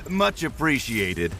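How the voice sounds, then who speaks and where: An actor playing a sneering mercenary villain in a video game, drawling with mocking courtesy and smug menace. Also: male voice, a little high, heavily acted. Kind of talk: theatrical video game acting.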